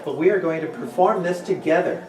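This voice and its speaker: A man's voice: fairly high pitch, a little fast. A middle-aged man presenting informally to a workshop group. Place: large meeting room with windows and tables. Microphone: distant camera microphone. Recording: distant, echoey, noisy room recording.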